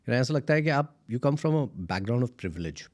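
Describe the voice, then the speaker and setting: A man's voice: medium pitch, very animated, very fast, darkish tone, no echo. A man hosting a conversational interview, switching between English and Hindi. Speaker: talk show host, interviewer.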